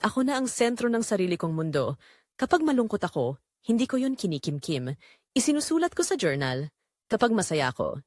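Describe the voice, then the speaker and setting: Audio drama lead: actress as middle-aged Filipina wife and mother, reflective and resolute, lively intonation, dry close sound. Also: medium pitch, dry recording. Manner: reflective, earnest, measured confessional phrasing. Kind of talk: scripted first-person audio drama narration.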